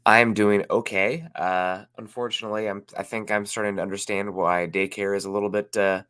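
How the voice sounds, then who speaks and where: A man co-hosting a sports podcast who has a head cold, nasal and a bit raspy, laid-back. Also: lowish voice, flat delivery, fairly quick, fairly bright tone, no echo. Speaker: podcast co-host. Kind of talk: casual sports podcast chat.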